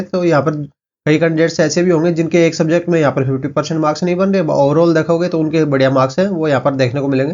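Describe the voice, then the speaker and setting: A man runs through exam cutoffs and vacancies at a very fast clip, looping back on the same filler phrases. His voice sits a little high. The recording is dull and muffled. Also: a little mellow. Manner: rapid, repetitive filler-heavy delivery. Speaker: exam coaching presenter. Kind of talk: explainer lesson.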